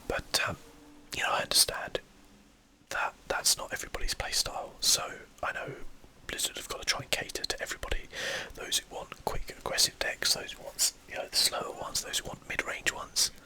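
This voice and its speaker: A person whispering ASMR gameplay commentary in a breathy, unvoiced voice, musing and thinking aloud without hurry. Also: a little fast, bright, forceful tone. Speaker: host of a game play channel.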